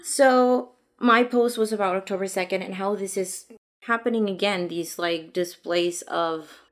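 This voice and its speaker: A woman's voice: medium pitch, a little slow. Mexican woman chatting in accented English. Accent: Mexican Spanish. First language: Spanish. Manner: chatty, laughs often, explains at length. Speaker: podcast co-host. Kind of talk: casual chat.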